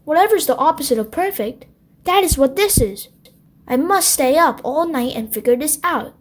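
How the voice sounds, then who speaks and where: A woman's voice: high voice, lively intonation, moderate pace. A woman voicing an anxious, unsure little male square block creature in a children's storybook, fretful and hesitant. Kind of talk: children's storybook read-aloud.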